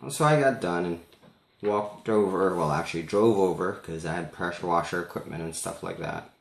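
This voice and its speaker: A man casually telling a personal story in an animated way, as if acting out the conversation for listeners. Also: low-pitched, normal speed, gravelly, echoey room. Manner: animated, acts out dialogue.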